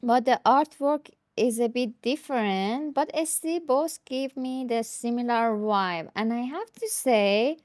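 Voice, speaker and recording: Slow-talking woman with a higher voice. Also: no echo, studio quality. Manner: hesitant, thinking aloud. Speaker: tarot deck reviewer. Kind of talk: casual unscripted show-and-tell.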